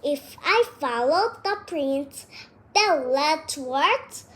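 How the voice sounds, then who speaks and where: Slow, high-pitched child reading a story aloud. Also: expressive, fairly bright tone.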